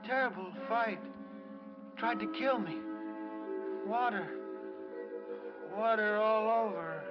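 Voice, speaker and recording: A man's voice: high-pitched, rapid-fire, close to an everyday voice. An actor with an American accent playing a delirious injured man in an old radio drama, raving in broken, repeated short phrases. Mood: delirious, terrified. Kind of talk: 1940s-50s American radio acting. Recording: muffled old radio recording, loud music.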